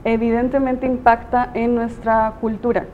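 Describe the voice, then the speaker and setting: A woman's voice: fairly high pitch, flat delivery, normal speed, narrow, tinny audio, slight background noise. A woman teacher with a Mexican Spanish accent, answering interview questions in a thoughtful, explanatory way with frequent hesitations. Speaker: teacher and guest.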